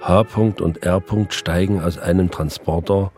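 Off-screen observer, deep voice, clipped, deadpan, radio drama voice-over, music bed. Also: male voice, a little slow. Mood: detached. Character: male off-screen narrator reading terse surveillance notes.

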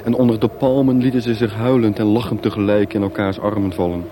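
A man's voice: on the low side, little pitch movement, quick pace, background noise. A man narrating a radio play as scripted, dramatic storytelling. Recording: music bed behind. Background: music.

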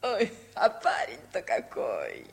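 An actress playing a spry, doting old grandmother, a gossipy matchmaker with an animated mock-scolding manner, in radio comedy style. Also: deep voice, takes it slowly, vocal fry, heavily acted. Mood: gossipy, admiring. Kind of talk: 1950s radio musical comedy acting.

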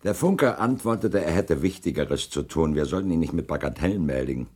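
A curt, husky ship captain. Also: male voice, extremely low pitch, lively intonation, fairly quick, darkish tone, exaggerated voice. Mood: guarded. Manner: clipped, formal, defensive answers. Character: stiff, curt middle-aged male ship captain under questioning. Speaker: witness. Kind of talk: 1980s radio drama acting.

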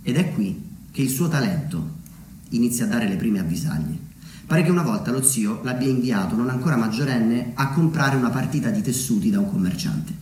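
A man delivering a theatrical narrative monologue, deliberately, with dramatic pauses and emphasis. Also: medium pitch, expressive, a little mellow. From Umbria, Italy. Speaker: solo theatre storyteller. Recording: roomy echo with background noise.

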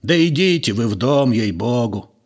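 Man voicing a gruff, exasperated villager. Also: mid-range voice, expressive, moderate pace, a little mellow. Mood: irritated. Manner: sarcastic, irritated, blunt.

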